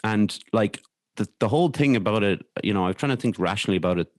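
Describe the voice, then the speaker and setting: An Irish man speaking candidly as a podcast guest, in a hesitant, halting way with repeated words. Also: lowish voice, animated, no echo. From Ireland. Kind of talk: candid conversational interview.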